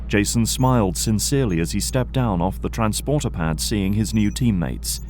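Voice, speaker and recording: Low-voiced man narrating an audio drama. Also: normal speed, heavy background noise.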